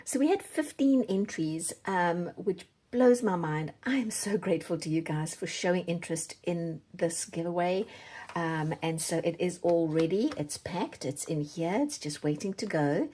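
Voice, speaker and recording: A woman's voice: on the low side, animated, moderate pace, mellow, breathy edge. A South African woman chatting casually to viewers as the host of a craft channel. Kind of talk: casual chat to viewers while doing a giveaway drawing.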